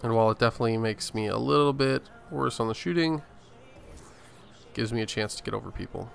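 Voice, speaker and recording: A man's voice: medium pitch, fairly quick, gravelly. An American man from Arizona hosting a gaming video with casual gameplay commentary. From Arizona, United States. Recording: voice-over with faint game music.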